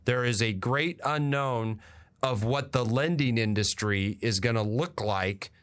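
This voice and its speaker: Clear-voiced American man hosting talk radio. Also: mid-range voice, measured pace, fairly bright tone. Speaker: radio show host and real estate agent. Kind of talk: conversational radio talk, explaining industry updates.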